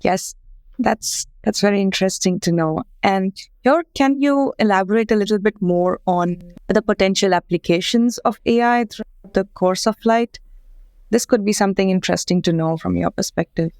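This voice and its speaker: A man's voice: high-pitched, normal speed, darkish tone, no room sound. A man hosting a podcast, politely asking his guest to elaborate. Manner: polite, encouraging questioner. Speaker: podcast host. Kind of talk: interview questions.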